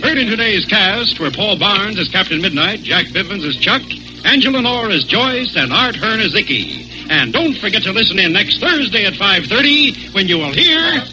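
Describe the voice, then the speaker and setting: A man's voice: high voice, sing-song, moderate pace, music in the background. A man announcing a 1940s radio serial and its sponsor with polished, emphatic delivery. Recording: muffled 1940s radio recording.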